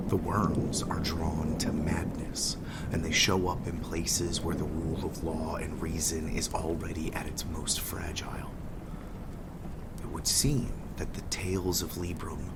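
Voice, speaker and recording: Very deep, ominous man narrating horror. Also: heavy background noise. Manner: theatrical, ominous, often hushed and breathy. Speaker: narrator, game master. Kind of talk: scripted gothic horror narration. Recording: loud storm ambience behind the voice.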